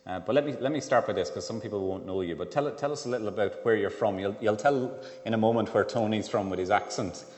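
A man leading a church service, introducing guests in a halting way with many restarts. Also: fairly low pitch, darkish tone. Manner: hesitant, repeating words. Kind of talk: casual interview in a service. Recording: echoey church hall, faint noise.

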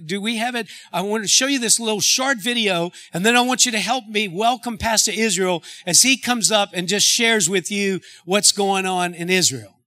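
Man pastor, earnest church introduction, high bright voice. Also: normal speed, few pauses. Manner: earnest, with restarts. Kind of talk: sermon introduction.

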